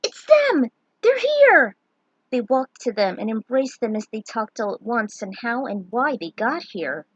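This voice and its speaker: A woman's voice: a little high, moderate pace, few pauses, husky, dry recording, lo-fi sound. A woman storyteller reading scripted fantasy fan fiction as narration.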